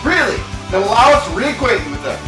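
Guy as a defiant young cartoon hero leader, lively swings in pitch, loud music behind. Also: fast talker. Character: young male superhero leader, defiant and urgent. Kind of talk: animated action drama acting. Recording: distant echoey voice under loud music.

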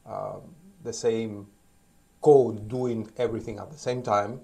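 Low-voiced man from Cuba, unhurried. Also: gravelly. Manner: relaxed, thoughtful, with frequent pauses and restarts. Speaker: interview guest, DevOps engineer. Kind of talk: casual interview answers. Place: outdoor rooftop terrace over a city. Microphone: phone held at arm's length.

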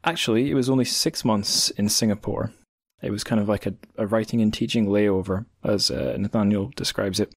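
A man with a fairly low voice talks as a podcast host. His delivery is informal and rambling, with a self-deprecating streak. The sound is dry and close. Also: steady tone, fairly quick, hardly any pauses, slightly rough, no room sound. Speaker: podcast host interviewing a guest. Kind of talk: casual, rambling podcast talk.

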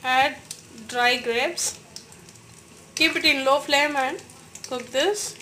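A woman's voice: high-pitched, slow, pushed and bright, slight background noise. A woman, giving step-by-step recipe instructions as a voice-over in a home kitchen. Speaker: cooking channel host.

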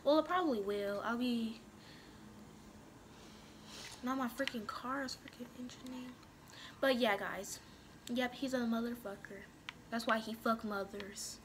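A woman's voice: fairly high pitch, measured pace, pauses often, background noise. A young woman casually commenting on a video game at home, bickering with her brother and swearing a lot. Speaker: gaming vlogger.

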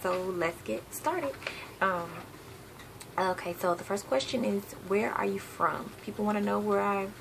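A woman's voice: medium pitch, unhurried. A young woman from the South, chatting casually on a home vlog, rambling with lots of ums and self-corrections. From Southern United States. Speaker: hair vlogger. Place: bedroom, seated on a patterned couch, indoors. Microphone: webcam at desk distance. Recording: gravelly voice with clear background hiss.

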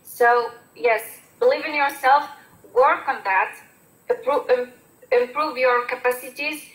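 Young algerian woman, high voice, earnest and encouraging, lots of uh and restarts, roomy echoey call audio. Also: fairly even intonation, measured pace, a bit bright. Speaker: former exchange student giving advice. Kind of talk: online panel talk.

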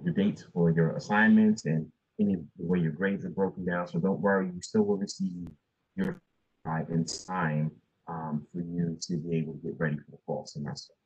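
A man's voice: low voice, slightly rough. A dean in his forties answering student questions at a virtual town hall, advising with many fillers and restarts. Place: home office. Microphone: video call with earbuds. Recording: dull, muffled video call.

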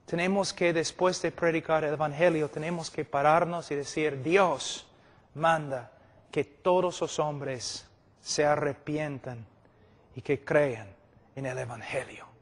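A man's voice: fairly high pitch, fairly even intonation, unhurried. A middle-aged man preaching a sermon at a conference, emphatic and repeating phrases for stress, telling illustrative stories. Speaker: preacher teaching at a church conference. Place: large indoor meeting hall, speaking to a seated audience. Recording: echoey hall with long reverb.

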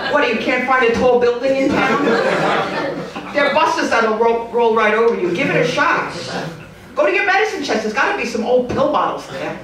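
A woman comic in her forties works a club stage with a handheld mic, her high voice swooping up and down as she delivers wry, deadpan punchlines. The sound is roomy and picked up from well back in the club, with audience laughter and room noise around her. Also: distant mic. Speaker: stand-up comedian. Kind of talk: stand-up comedy set.

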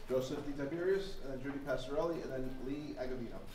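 A man's voice: medium pitch, fast. A man announcing speakers as moderator of a public meeting in a big echoey gymnasium. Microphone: handheld PA heard from far camera. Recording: distant echoey gymnasium, loud audience noise.

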